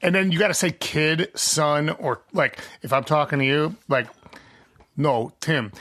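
A man's voice: fairly high pitch, normal speed, a bit bright, dry recording, clean studio sound. A man guesting on a podcast, chatting casually about his songwriting in a rambling way with lots of fillers.

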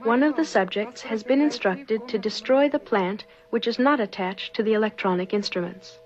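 A woman delivering scripted documentary narration in a formal, measured manner. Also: a little high. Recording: dull lo-fi old film soundtrack. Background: music.

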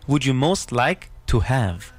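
A man's voice: medium pitch, very animated, slow, no room sound. A man hosting a podcast interview, enthusiastic and encouraging, with philosophical asides. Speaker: podcast host. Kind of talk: casual interview.

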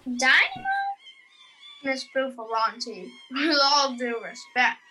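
Woman playing a bright, high-voiced, breezy mover. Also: wildly swooping pitch, slow, slight background noise, full character voice, low-quality recording. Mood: breezy, teasing. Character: brisk, breezy young woman leading a museum moving crew. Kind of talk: audio drama acting.

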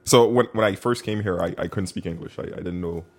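A man from Haiti telling his life story as a podcast guest, relaxed and self-mocking, with many fillers. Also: deep voice, fairly quick. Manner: relaxed storytelling, frequent fillers, bursts of laughter. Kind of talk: casual interview.

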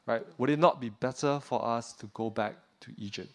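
A man from Singapore, preaching a sermon as a pastor, earnestly tagging "right?" onto points and dropping low and quiet on weighty lines. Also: normal speed. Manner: earnest preaching, often adds "right?" to check in with listeners; drops pitch and volume on weighty points.

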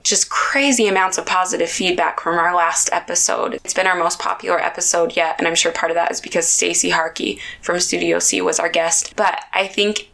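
A woman hosting a podcast in upbeat, chatty talk, a little hoarse and raspy from a sore throat. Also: fairly low pitch, steady tone, a little fast, hardly any pauses, bright, forceful tone. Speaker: podcast host. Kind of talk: casual podcast chat.

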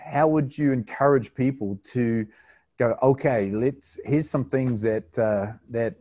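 A man interviewing someone casually, putting his questions in a halting, unhurried-sounding conversational way. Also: mid-range voice, dark tone, no room sound.

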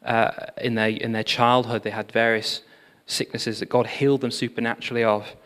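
A man giving a sermon, telling revival history in an animated storytelling manner with frequent fillers. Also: mid-range voice, normal speed. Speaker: preacher. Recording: long hall reverb.

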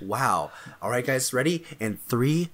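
A man's voice: medium pitch, lively intonation, a little slow, crowd noise. A man co-hosting a casual podcast, joking and leading a playful segment. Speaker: podcast co-host. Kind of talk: casual chat.

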